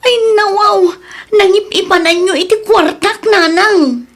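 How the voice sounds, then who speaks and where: Radio drama daughter, played by a woman: high-pitched, accusing, anguished, Ilocano Filipino accent. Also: measured pace, fairly bright tone. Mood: tearful anger. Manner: wailing, reproachful. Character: betrayed adult daughter back from working abroad, anguished, accusing.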